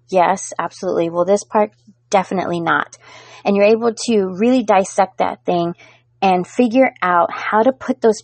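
A middle-aged woman hosts her own podcast, talking straight to her listeners in an earnest, encouraging way. Her voice sits at a middle pitch. The sound is close and dry, with no room around it. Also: darkish tone, no room sound. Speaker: podcast host. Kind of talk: solo motivational podcast talk.

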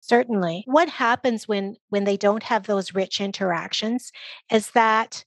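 A woman speaking as a guest expert, giving measured, articulate explanations in an interview with emphasis on key phrases. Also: mid-range voice, no echo. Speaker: guest expert, early literacy organization leader.

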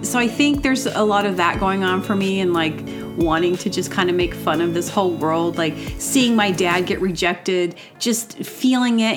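Rambling woman host with music behind. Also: mid-range voice. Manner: rambling, many fillers and restarts. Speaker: podcast host. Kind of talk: casual personal monologue. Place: temporary home setup.

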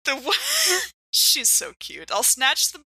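A woman's voice: sits high, big pitch swings, slow pace, bright, forceful tone, breathy edge, no room sound. A woman playing in an improvised tabletop role-playing show, conversational and thinking aloud. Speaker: player in a role-playing game. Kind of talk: casual improvised tabletop actual play.

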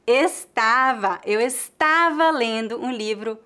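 Brazilian woman, higher voice, language teacher, clear careful delivery. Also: slow, pushed and bright. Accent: Brazilian Portuguese. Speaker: Portuguese teacher. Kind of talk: scripted online language lesson.

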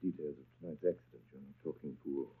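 An actor playing a brooding, imperious master of the house in a 1930s radio drama, speaking in an abrupt, commanding, theatrical manner. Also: male voice, extremely low pitch, fast talker, slightly rough, full character voice. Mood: guarded, intent. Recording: tinny band-limited 1930s radio broadcast.

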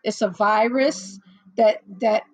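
A middle-aged woman hosting a casual livestream chat, rambling and chatty with lots of fillers and laughs, a little hoarse as she loses her voice. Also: on the high side, fairly even intonation, slow, no echo. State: losing her voice, slightly hoarse. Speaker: livestream host. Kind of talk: casual chat with live viewers.

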